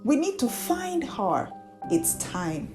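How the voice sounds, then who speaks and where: A woman's voice: fairly high pitch, very animated, takes it slowly, over music, full character voice. A woman storyteller voicing an earnest, supportive young man in a folktale, encouraging in manner. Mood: agreeing, resolute.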